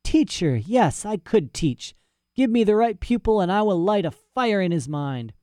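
A man's voice: high voice, very animated, unhurried, warm tone, no echo, studio quality, voice pushed up. A man voicing a mad old professor in a storybook reading, cranky, boastful and pompous, querulously tossing in "eh". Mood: soothed, self-important. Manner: agitated, querulous, pompous, punctuating talk with "eh".

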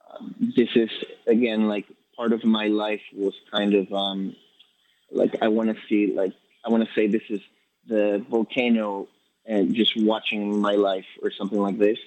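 A man from Ecuador talks about his work in a somewhat low voice. He speaks fast and halting, with frequent fillers and restarts, as a guest in a relaxed interview. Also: no echo, poor audio. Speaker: poet guest. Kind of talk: casual interview answers.

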